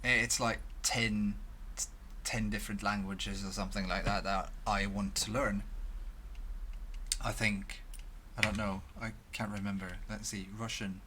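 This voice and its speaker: A young Swedish man talking to his webcam in English, halting with many restarts and fillers. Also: fairly low pitch, level tone, bright, forceful tone, slight background noise. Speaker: vlogger. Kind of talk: casual talk to camera. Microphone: headphones, desk mic at webcam.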